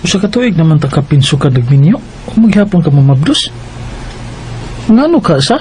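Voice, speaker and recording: A man's voice: fairly high pitch, sing-song, moderate pace, mellow, soft background music. A man with a Filipino accent playing an eager, insistent young lover in a radio drama. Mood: impatient. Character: eager, insistent teenage boyfriend turned young husband.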